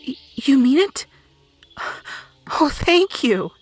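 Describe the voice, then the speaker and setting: A woman playing an earnest young girl in an amateur audio drama, speaking tenderly and haltingly with emotion. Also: high-pitched, very animated, no echo, full character voice, rough recording. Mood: surprised, moved. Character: earnest young rat princess or apologetic girl friend. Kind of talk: amateur audio roleplay acting. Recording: music behind the voice.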